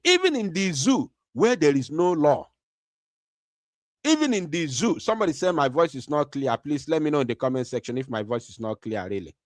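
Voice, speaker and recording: A man with a Nigerian English accent gives a commentary monologue to his viewers. He talks emphatically, repeats his phrases and often pauses on fillers. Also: on the high side, very animated, no echo, clean studio sound. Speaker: online channel host.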